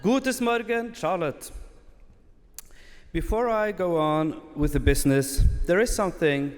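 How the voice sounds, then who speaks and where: A Norwegian man, a lay delegate, giving a careful, deliberate conference speech in a language that is not his first, with a Norwegian accent. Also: sits high, faint music behind. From Norway. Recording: long reverb in a large hall.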